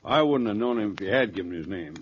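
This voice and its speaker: An actor playing a polite, flustered street-corner Santa, stammering and earnest, in a 1940s radio drama. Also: male voice, fairly low pitch, expressive, fast, natural voice. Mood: flustered, insistent. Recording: dull, noisy old radio recording.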